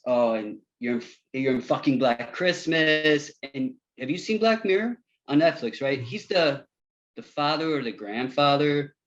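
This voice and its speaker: A man's voice: medium pitch, highs cut off, close to an everyday voice. An actor at a table read playing a breezy, chummy male movie director, slangy and eager to seem cool.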